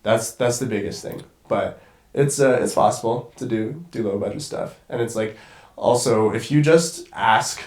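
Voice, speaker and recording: A man's voice: medium pitch, normal speed, darkish tone, roomy sound. A congested young man talking as a guest cinematographer in a casual conversation, rambling and swearing casually. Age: young adult.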